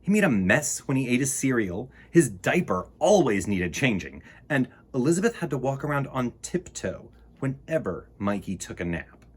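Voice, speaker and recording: Male picture book reader, theatrical and playful, with very lively intonation and a husky edge. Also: mid-range voice, moderate pace, hardly any pauses. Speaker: children's storytime reader. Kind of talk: animated picture book read-aloud with casual asides.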